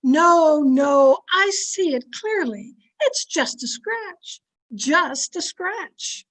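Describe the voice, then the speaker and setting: A woman's voice: high voice, lively intonation, drawn-out words, a bit bright, heavily acted, low-quality recording. A woman playing a pompous, bossy society wife who fancies herself a medium, in theatrical old-time radio style. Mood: agitated, commanding. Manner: theatrical, imperious, grandly emphatic. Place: remote recording over a video call.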